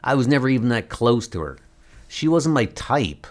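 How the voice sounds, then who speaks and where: A man's voice: mid-range voice, very animated, normal speed, natural voice. A man voicing a defensive, sarcastic young ex-superhero in a comic book dub, snide and dismissive.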